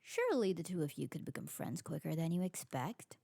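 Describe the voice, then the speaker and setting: A woman playing an elegant, sociable vampire aristocrat in an ASMR roleplay, refined and formal, with a soft husky voice. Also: sits low, big pitch swings, fairly quick, dry recording, heavily acted. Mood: gracious. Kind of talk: scripted ASMR roleplay acting.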